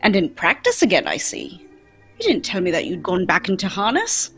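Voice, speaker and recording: A woman playing a brisk, self-assured male detective in an old-time radio play, speaking precisely and theatrically with a husky voice. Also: mid-range voice, wildly swooping pitch, fairly bright tone, faint music behind, full character voice. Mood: observant, amused. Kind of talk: old-time radio drama acting, read live.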